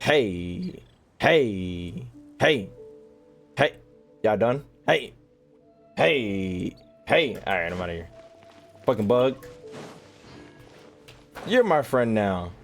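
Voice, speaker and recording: Male gaming YouTuber; joking, slangy commentary, slow-paced yet very expressive, soft game music behind. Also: fairly low pitch. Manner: slangy, irreverent, playful. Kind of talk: casual, joking playthrough commentary.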